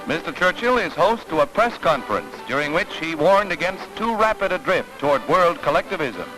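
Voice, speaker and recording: Man, American, high-pitched voice, dramatic newsreel narration, declamatory delivery, music behind, vintage 1940s soundtrack. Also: big pitch swings, a little fast, low-quality recording. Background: orchestral newsreel music.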